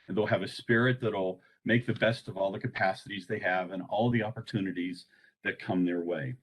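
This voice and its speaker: A man presenting an informal training on a conference call, speaking in a halting way. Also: deep voice, fairly even intonation, husky, low-quality recording.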